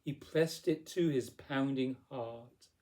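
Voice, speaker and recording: A man narrating storybook verse as a poetry reader, with theatrical, deliberate phrasing. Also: medium pitch, warm tone.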